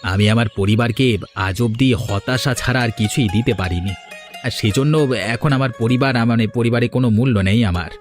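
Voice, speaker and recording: A man's voice: mid-range voice, normal speed, soft background music, lightly acted. A man playing an earnest, downcast young wastrel brother who pleads for redemption in a moral story cartoon. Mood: dejected. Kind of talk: dramatic cartoon dialogue.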